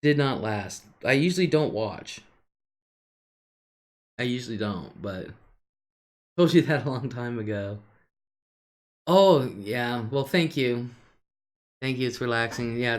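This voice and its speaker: Slow-talking older woman with a deep alto. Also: lively intonation, darkish tone. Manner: chatty, laughs often between remarks. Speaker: live-stream host. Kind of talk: casual live-stream chat, reading viewer comments.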